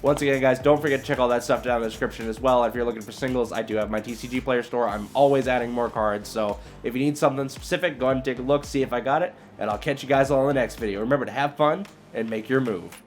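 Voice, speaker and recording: A man's voice: mid-range voice, quick pace, hardly any pauses, faint music behind. A man giving casual gameplay commentary, thinking aloud in a hesitant, muttering way as he reasons through his moves. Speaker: video creator and card game player.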